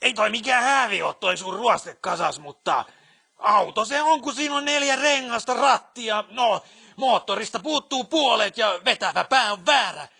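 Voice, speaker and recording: Man acting as scornful male mechanic, video game, crude, boastful, piercing, gravelly rasp. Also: high-pitched, animated, pushed and bright, full character voice. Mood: sneering, boastful. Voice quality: raspy, creaky. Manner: slangy, scornful, rambling. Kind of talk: video game character dialogue.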